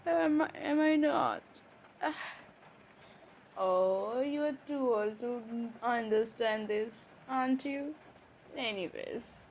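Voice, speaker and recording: A woman playing a hurt, defiant young college-age stepsister in an improvised audio roleplay, speaking emotionally and haltingly with sighs and pauses. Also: high voice, full character voice. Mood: teasing, wry. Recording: faint background noise.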